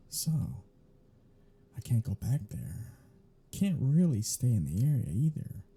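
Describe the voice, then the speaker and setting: A sardonic male vampire, acted out by a man, grumbles and teases in an intimate ASMR audio drama. His voice is very dark and heavily husky, with a gravelly creak. He drawls his mockery with irreverent sarcasm. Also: mid-range voice, full character voice.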